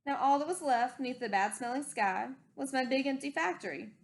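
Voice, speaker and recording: A woman reading a rhyming storybook aloud expressively, with deliberate dramatic emphasis. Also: sits high, lively intonation, creaky, a little hiss or hum.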